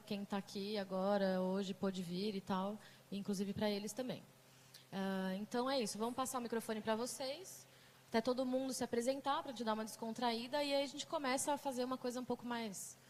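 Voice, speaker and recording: A woman's voice: mid-range voice, a little hiss or hum. A woman with a Brazilian Portuguese accent leading a group conversation, chatty, with many fillers and restarts. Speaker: mediator. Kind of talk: casual talk to a group.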